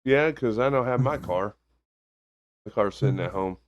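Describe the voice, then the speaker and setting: A young man with a monotone baritone voice, co-hosting a casual podcast chat. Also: normal speed, dull audio. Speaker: podcast co-host.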